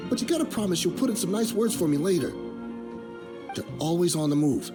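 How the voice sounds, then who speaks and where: A man's voice: high-pitched, animated, a little fast, over music. A man acting a shifty, cornered informant in a video game, casual, defensive and wheedling. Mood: reluctant, wheedling.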